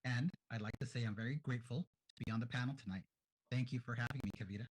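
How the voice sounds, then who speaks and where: Husky-voiced man introducing himself. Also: mid-range voice, very fast, rough recording. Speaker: panelist. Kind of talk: panel self-introduction.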